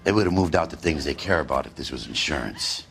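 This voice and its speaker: Deep-voiced, surly veteran detective. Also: male voice, animated, fast talker, vocal fry, fairly bright tone, exaggerated voice. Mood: weary, cynical. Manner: sardonic, dismissive. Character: surly, cynical veteran male arson detective, a loner. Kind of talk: 1940s detective drama acting.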